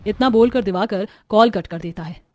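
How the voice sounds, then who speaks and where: A woman's voice: medium pitch, normal speed, darkish tone. A woman narrating a scripted cartoon story.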